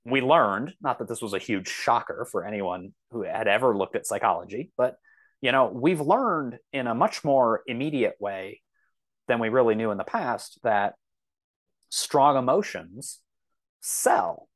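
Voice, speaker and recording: A man speaking as a podcast guest in a reflective, conversational way, often halting and circling back with asides. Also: mid-range voice, animated. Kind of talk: thoughtful conversational interview.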